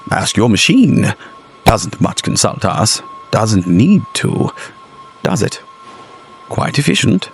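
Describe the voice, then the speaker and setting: A man's voice: fairly low pitch, sing-song, slow pace, gravelly, pauses often, soft background music, heavily acted. A man playing a dutiful, eerily compliant Victorian factory foreman in a gothic audio drama, blandly reassuring. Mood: flat compliance.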